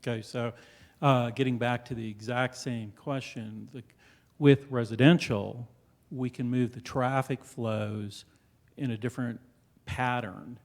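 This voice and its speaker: A man representing a developer, presenting to a town board in a council chamber, loud and full of fillers. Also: mid-range voice, fairly even intonation, unhurried. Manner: loud and hesitant, many fillers.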